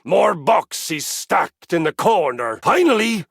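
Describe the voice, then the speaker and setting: A pompous, gravelly exercise machine. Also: male voice, very animated, slow, no room sound, clean studio sound, full character voice. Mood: conspiratorial. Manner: theatrical, pompous, swings from low murmurs to booming shouts. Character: grandiose male exercise machine, boastful and violence-loving. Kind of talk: video game character acting.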